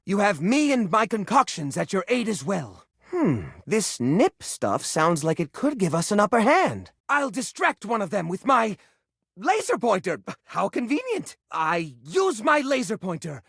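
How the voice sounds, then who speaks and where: An actress playing a scatterbrained, nervous, eager-to-help keeper of odds and ends in a video game, fussy and rambling, with a creaky, husky voice. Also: female voice, medium pitch, sing-song, a little slow, no room sound, studio quality, full character voice. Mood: excitable. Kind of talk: video game character acting.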